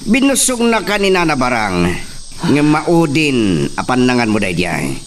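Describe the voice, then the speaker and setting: A man's voice: medium pitch, wildly swooping pitch, a little slow. A man playing a cruel henchman who threatens and taunts, in an audio soap drama. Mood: taunting menace. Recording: distant mic, faint background noise.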